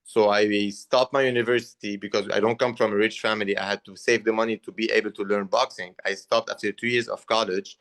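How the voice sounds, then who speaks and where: A man's voice: on the low side, flat delivery, a bit bright. Earnest Lebanese-accented young man. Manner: earnest, halting with restarts. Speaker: guest boxer. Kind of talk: interview answers.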